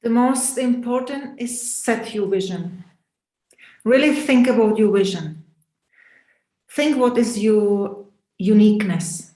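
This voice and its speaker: Slow, firm businesswoman in an echoey room. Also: medium pitch, dark tone. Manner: slow, firm, advice-giving, nonnative phrasing. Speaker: business owner, guest speaker. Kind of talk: online panel discussion, giving advice.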